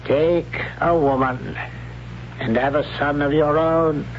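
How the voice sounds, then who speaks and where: A stern religious father ruling his tribe. His voice is fairly high and slow, declamatory and commanding in old radio style. The recording is dull, with some hiss and hum. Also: steady tone. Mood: stern, solemn. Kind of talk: 1970s radio drama acting.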